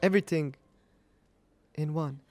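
A man in his thirties giving casual, expressive reaction commentary as a vocal coach, speaking emphatically into a close studio mic. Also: fairly high pitch, a little slow, over music. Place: small indoor home studio. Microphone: large studio mic up close, headphones on.

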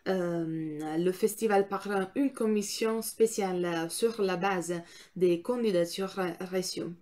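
A young woman with an Italian accent talks straight into a phone camera in a small room. Her first language is Italian, and she speaks at a slow, careful pace. She hesitates often, filling gaps with drawn-out sounds as she hunts for each word. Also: medium pitch, a little mellow. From Italy. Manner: hesitant, many filler sounds while searching for words. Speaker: student vlogger. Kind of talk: educational talk to camera. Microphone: camera or phone in front, framed from below.

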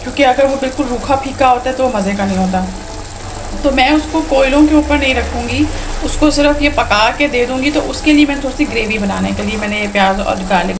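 A woman chatting casually to her viewers while cooking at home, sprinkling in English words. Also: on the high side. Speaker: home cook showing a recipe. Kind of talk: casual cooking vlog narration. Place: home kitchen. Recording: echoey room with clear household noise.

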